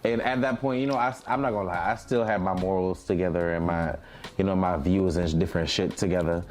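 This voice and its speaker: Deep-voiced, frank man from Jamaica. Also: steady tone, a little fast, darkish tone, slight background noise. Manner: frank, profane, slangy. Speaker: podcast guest. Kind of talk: candid casual storytelling interview.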